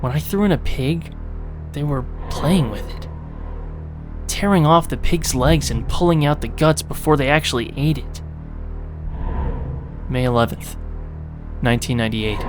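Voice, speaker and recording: A man's voice: mid-range voice, natural voice. A man giving a dramatic reading as an animal keeper writing a diary while he sickens in a horror game. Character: male animal keeper diarist, sickening into a feral zombie.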